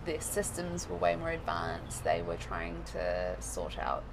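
A woman with a New Zealand accent, chatting casually as an interview guest, rambling with lots of fillers and quick laughs. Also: a bass voice, sing-song, gravelly, background noise.

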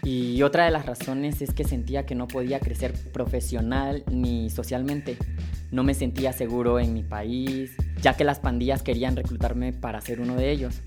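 A man's voice: mid-range voice, little pitch movement, moderate pace. Young man over music. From Honduras. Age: 20s. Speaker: featured migrant telling his story. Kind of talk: read personal testimony. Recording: music bed under the voice.

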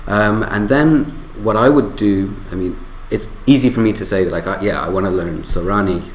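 A man speaking as a guest on a panel, thoughtful and measured. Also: fairly low pitch, a little hiss or hum. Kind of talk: casual panel discussion. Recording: echoey room.

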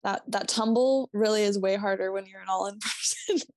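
A woman's voice: mid-range voice, normal speed, darkish tone, dry recording. A woman with a Minnesotan accent co-hosting a casual podcast, rambling, restarting herself, laughing and swearing as she talks. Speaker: podcast co-host. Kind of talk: casual chat.

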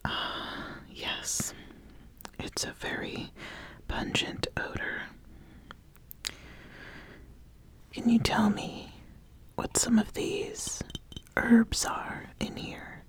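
A woman playing an ambitious witch who sizes up a would-be apprentice in a whispered ASMR roleplay, speaking deliberately and giving gentle instruction. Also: a little high, little pitch movement. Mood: hushed, testing. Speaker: ASMR host.

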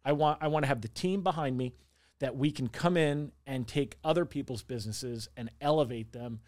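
A man's voice: medium pitch, normal speed, darkish tone. Talkative man in his forties, thinking aloud. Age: 40s. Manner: talkative, self-reflective, repeats words. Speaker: interview guest, small business owner. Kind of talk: candid conversational interview.

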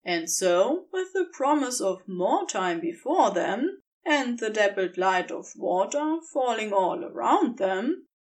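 A woman narrating a scripted story in a measured, expressive storytelling manner. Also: on the high side.

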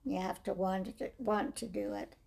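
Older woman with a raspy, gravelly voice. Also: mid-range voice, steady tone. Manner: slow, measured storytelling with soft trailing phrases. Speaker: interviewee. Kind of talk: reflective life-story interview.

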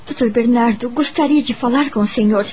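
A young Brazilian woman voiced by an actress, polite and shy, tells her story in a radio drama. Her voice sits fairly high, over light background noise. She sounds like a grateful, gentle schoolteacher. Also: fairly quick, lightly acted. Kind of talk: radio soap opera acting and narration.

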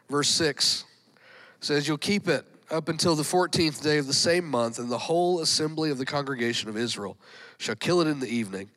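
A man's voice: a little high, a bit bright, low-quality recording. A man preaching a sermon to his congregation, sounding nasal and congested from a cold, punctuating lines with frequent amens and folksy asides. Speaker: pastor. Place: church sanctuary with congregation.

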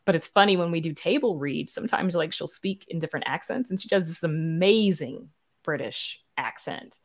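A woman's voice: deep voice, lively intonation, normal speed. A woman speaking as a podcast guest in a candid, casual interview, enthusiastic and effusive. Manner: enthusiastic, effusive about colleagues. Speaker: podcast guest, writer and producer.

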